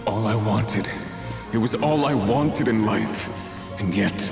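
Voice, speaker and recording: Low male game phantom, grieving and regretful, ghostly echo, loud music. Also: slow. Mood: anguished. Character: grief-stricken, regretful disembodied male voice from memory. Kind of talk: video game voice acting.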